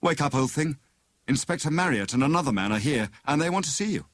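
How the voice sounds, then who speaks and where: A man voicing an easygoing, affectionate young husband and amateur detective, brisk and practical, in an audiobook. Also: fairly high pitch, animated, no room sound, voice pushed up.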